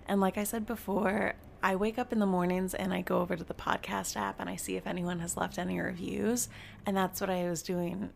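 Young woman, twenties, podcast host, raspy morning voice, gravelly fry, chatty, giggly, lots of fillers. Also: lowish voice, level tone, a little fast, a little hiss or hum. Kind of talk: casual solo podcast chat.

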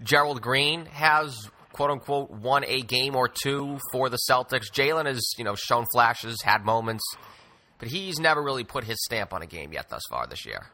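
A man's voice: mid-range voice, expressive, normal speed, sharp tone. A man hosting a sports podcast, talking basketball conversationally with wordy, wry asides.

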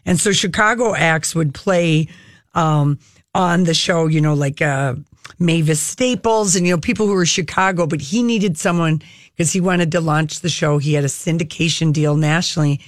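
A woman's voice: low-pitched, normal speed, no echo. Enthusiastic woman in dry, close sound. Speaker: talk show host. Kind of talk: casual chat about television shows.

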